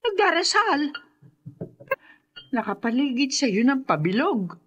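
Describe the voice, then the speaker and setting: A woman's voice: high-pitched, big pitch swings, takes it slowly, a bit bright, heavily acted. A woman playing an anxious, hesitant middle-aged mother in a radio drama. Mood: alarmed.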